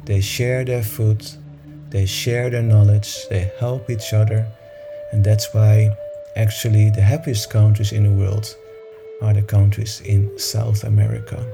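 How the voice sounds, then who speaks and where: A man's voice: on the low side, level tone, measured pace, gravelly, over music. A man leading a meditation session, talking informally to a group in a soothing, encouraging manner.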